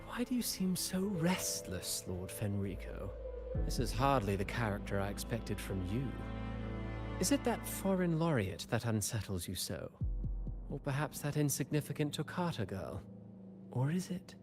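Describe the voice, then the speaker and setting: A man acting a sly, mocking schemer and storyteller in a video game, speaking in florid, measured, theatrical lines. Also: mid-range voice, big pitch swings, close to an everyday voice. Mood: mocking deference. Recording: voice over game music.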